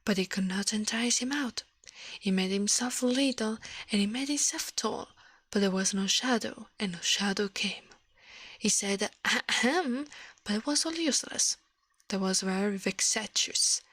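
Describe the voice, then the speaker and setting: A woman with an Italian accent speaking softly in an ASMR livestream, often whispering, close to the microphone. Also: medium pitch, measured pace, dry recording. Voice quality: soft, breathy, often whispered. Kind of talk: ASMR, soft-spoken and whispered storytelling and chat.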